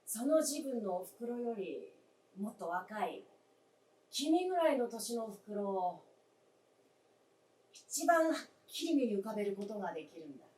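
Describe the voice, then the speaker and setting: Reflective adult son; measured radio-play delivery, wistful, roomy sound from off mic. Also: male voice, high-pitched, very animated, darkish tone. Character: reflective grown son remembering his late mother. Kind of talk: theatrical staged radio drama acting. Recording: echoey stage, distant mic.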